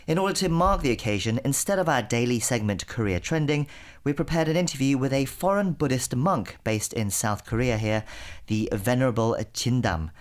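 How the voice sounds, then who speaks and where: A man hosting a radio interview in a measured way, with frequent fillers and short acknowledgements. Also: mid-range voice.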